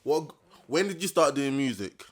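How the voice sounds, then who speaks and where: A man's voice: medium pitch, lively intonation, normal speed, breathy edge. A young man hosting his first interview, talking in hype slang and often asking "you understand". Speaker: interviewer and channel host.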